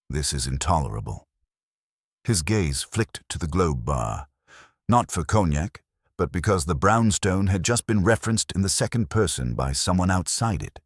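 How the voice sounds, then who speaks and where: A man's voice: deep voice, no echo. A man reading scripted first-person detective fiction as an audiobook narrator, with a wry, dry wit.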